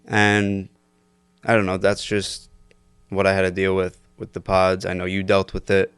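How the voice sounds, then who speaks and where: A man's voice: sits low, monotone, moderate pace, a bit bright, clean tone, no room sound. A young man in his twenties telling stories on a casual podcast, loose and laughing with lots of fillers. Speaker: podcast guest. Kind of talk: casual storytelling chat.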